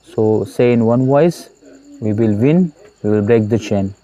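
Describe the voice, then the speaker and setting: A man's voice: on the low side, mellow, poor audio. A man with an Indian English accent gives an earnest motivational talk to his students as a YouTube host, with many hesitations and repeated phrases. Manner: earnest, repetitive, many "uh" pauses.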